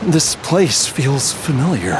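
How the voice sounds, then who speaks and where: Slow, halting, troubled young man. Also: mid-range voice, sing-song, background noise. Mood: uneasy, searching. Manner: halting, emotive. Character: troubled, determined young man with lost memories. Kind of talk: dramatic video game voice acting. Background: background music.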